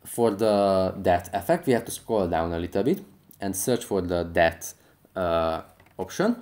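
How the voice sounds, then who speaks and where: Casting: male tutorial host, lowish voice, scripted instructional narration. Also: expressive, a little slow, darkish tone.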